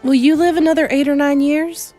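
A woman voicing an eager young boy who has become a mouse, excitable and inquisitive, in storybook dialogue. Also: high-pitched, brisk, faint music behind, pitched above the performer's own voice, natural voice. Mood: earnest. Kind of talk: acted children's storybook dialogue.

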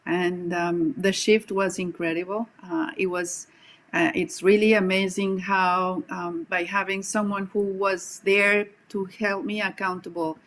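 A woman in her forties from Colombia with a Colombian accent, speaking earnestly and reflectively as a coach guest on a video call from a home room, pausing often with "um". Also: lowish voice, fairly bright tone. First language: Spanish. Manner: earnest, reflective, many "um" pauses. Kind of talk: casual video-call interview.

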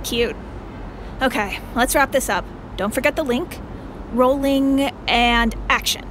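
A woman's voice: on the high side, a little slow, background noise, close to an everyday voice. A woman playing a wry, businesslike news camera operator in an audio drama. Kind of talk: naturalistic audio drama acting.

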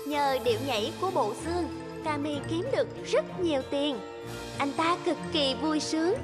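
A woman narrating a cartoon fairy tale in a calm, even storytelling manner, over loud music. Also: sits high, normal speed.

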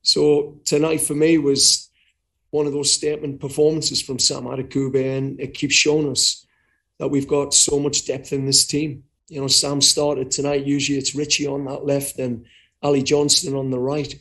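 A man's voice: medium pitch, little pitch movement, moderate pace, a bit bright, low-quality recording. A middle-aged man answering as head coach in a post-match press conference, talking frankly and often repeating words while he thinks, over a video call. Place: indoors before a sponsor backdrop.

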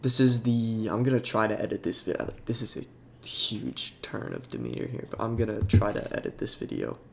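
A young man with a congested voice, talking in a halting, soft-spoken way with many fillers over game footage. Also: fairly low pitch, expressive, vocal fry, a little hiss or hum. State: congested, sniffling. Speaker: YouTuber. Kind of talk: casual talk over gameplay.

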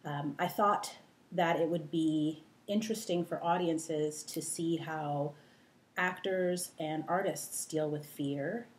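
A woman playwright speaking reflectively and in a measured way to camera, indoors at home. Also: sits low, fairly even intonation.

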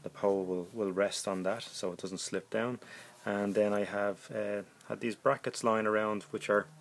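A middle-aged man giving a casual, halting DIY demonstration as a hobbyist, thinking aloud as he goes. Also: on the low side, level tone, vocal fry. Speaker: hobbyist presenter.

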